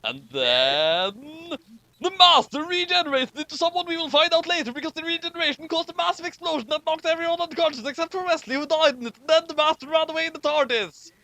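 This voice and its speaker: A man reading comic fan fiction aloud with exclamatory, animated delivery and amused asides. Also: extremely high pitch, quick pace, no room sound, rough recording.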